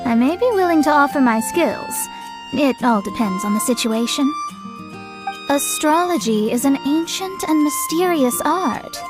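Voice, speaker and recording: A woman's voice: sits high, lively intonation, a little slow. A woman voicing a proud, self-important young astrologer in a fantasy game, haughty and self-assured. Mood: smug. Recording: music playing behind the voice.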